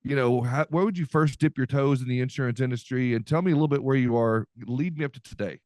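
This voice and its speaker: A man's voice: medium pitch, fast talker, a little mellow, no room sound, clean studio sound. A man hosting a podcast, chatting casually in an upbeat, folksy way with lots of fillers. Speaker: podcast host. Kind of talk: casual podcast chat with ad reads.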